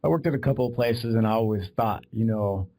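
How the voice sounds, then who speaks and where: Mellow-voiced male podcast host. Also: on the low side, fast. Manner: agreeable, conversational, repeats words. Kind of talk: casual interview talk.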